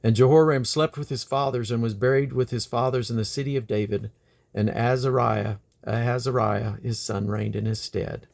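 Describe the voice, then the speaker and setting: A man reading the Bible aloud in a creaky voice, delivered deliberately, phrase by phrase, with pauses. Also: medium pitch, fairly even intonation, darkish tone. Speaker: Bible reader. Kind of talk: scripture reading aloud from the King James Bible.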